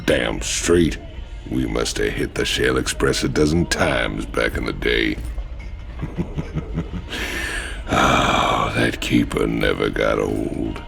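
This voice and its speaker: Very deep, gravelly outlaw. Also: male voice, slow pace, pauses often, fairly bright tone, soft background music, exaggerated voice. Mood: gruff nostalgic amusement. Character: burly old outlaw buddy, gruff and amused. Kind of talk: video game cutscene acting.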